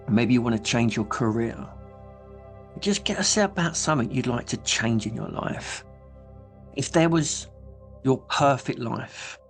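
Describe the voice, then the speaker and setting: A man guides a hypnosis meditation in a mid-pitched voice, with music behind him. He speaks soothingly and deliberately, leaving pauses between short phrases and letting the endings soften.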